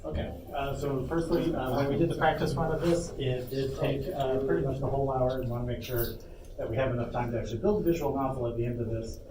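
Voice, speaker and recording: A man's voice: medium pitch, fairly even intonation, fast talker. A man giving an informal live workshop talk as a panel presenter, speaking off the cuff with asides. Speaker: panel presenter, lead game developer. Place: convention panel room, indoors. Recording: echoey room, distant mic, loud background noise.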